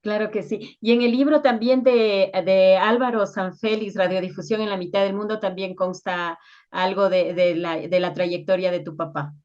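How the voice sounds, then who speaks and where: A woman's voice: mid-range voice, steady tone, normal speed, darkish tone. A woman with a Quito Ecuadorian accent, interviewing in a conversational way. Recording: dull, lo-fi sound.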